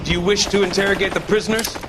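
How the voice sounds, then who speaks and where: Man playing a high, urgent soldier. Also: steady tone, racing, background noise, close to an everyday voice. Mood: urgent, exasperated. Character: exasperated American soldier reporting to his officer. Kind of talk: war scene video game acting.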